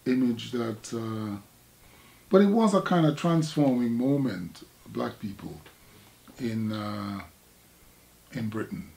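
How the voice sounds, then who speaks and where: An older man from Jamaica with a Jamaican accent, an artist recalling his past in a halting, reflective conversation. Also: on the low side, gravelly, darkish tone, rough recording. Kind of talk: casual recollection in conversation.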